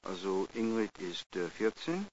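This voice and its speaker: An actor playing an assistant who reads out a family's details in a matter-of-fact way, with some hesitation. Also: male voice, sits low, very animated, unhurried. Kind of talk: scripted language-course dialogue. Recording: dull hissy old tape.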